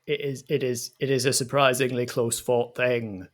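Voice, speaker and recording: A haughty, hyper-intelligent slime, acted out by a man in a comic audio drama. It speaks at a middling pitch with pompous condescension, as if all around it were lesser beings. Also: level tone, normal speed, natural voice. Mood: smug.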